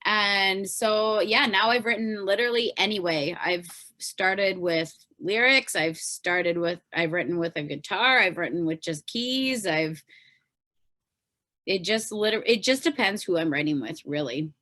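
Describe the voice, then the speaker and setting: A woman's voice: mid-range voice, unhurried, pushed and bright. A woman with a Canadian accent speaking as an interview guest, informal and rambling, often trailing off. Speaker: podcast guest, pop singer-songwriter. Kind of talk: casual interview chat.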